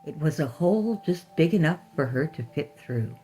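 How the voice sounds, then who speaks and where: A woman narrating a scripted horror story in a deliberate, expressive storytelling manner. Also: deep voice, faint music behind, low-quality recording.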